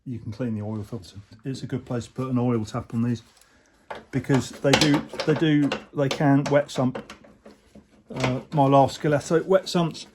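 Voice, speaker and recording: A man, casually explaining a motorcycle repair as he works, in a relaxed, rambling way with plenty of fillers. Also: medium pitch, background noise. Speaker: motorcycle restorer, channel host. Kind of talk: casual workshop talk-through. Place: workshop or shed.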